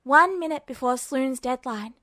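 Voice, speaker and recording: A woman reading a fan fiction audiobook with steady, even storytelling. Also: sits high, normal speed, no echo, clean studio sound. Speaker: podfic reader.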